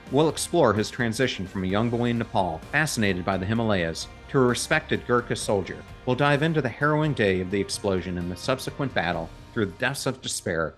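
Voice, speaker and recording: Podcast host man, low-pitched and animated, upbeat read, faint guitar music behind. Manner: upbeat, promotional delivery. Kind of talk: scripted podcast intro and interview.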